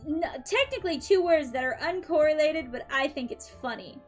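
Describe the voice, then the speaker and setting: Young woman, high bright voice, chatty and upbeat, slightly dry throat, faint music behind. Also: lively intonation, normal speed. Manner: chatty and upbeat, frequent "um" and light laughs. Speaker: VTuber streamer doing tarot readings. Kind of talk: casual live-stream chat.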